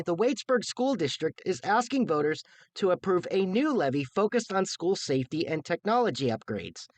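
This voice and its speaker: A man's voice: high-pitched, dry recording. A young American man reading a scripted television news report as a reporter.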